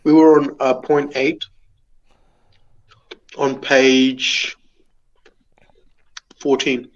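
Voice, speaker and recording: A man's voice: medium pitch, little pitch movement, very slow, muffled recording. A man chatting casually as a podcast guest, giving short replies and questions.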